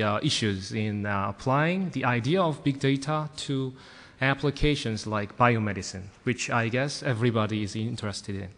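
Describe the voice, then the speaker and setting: A middle-aged man, a native Korean speaker with a Korean accent, gives an informal conference presentation as a professor, telling anecdotes with light self-deprecating humor. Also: medium pitch. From South Korea. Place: large conference hall, amplified talk. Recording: echoey hall with long reverb.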